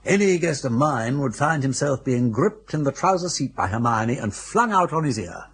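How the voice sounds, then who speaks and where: An actor playing a jaunty, meddling older English gentleman with husky, breezy upper-class banter in a radio drama. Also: medium pitch, sing-song, normal speed. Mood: rousing, bossy.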